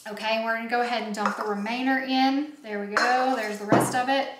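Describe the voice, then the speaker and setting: A chatty woman with a fairly high voice walks viewers through a recipe in an informal way. She is recorded a few feet from the camera in a home kitchen, so the sound is noticeably roomy, with faint background noise and some clinking of dishes. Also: steady tone, a little fast. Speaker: home baking vlog host. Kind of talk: casual recipe demonstration. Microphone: camera a few feet away.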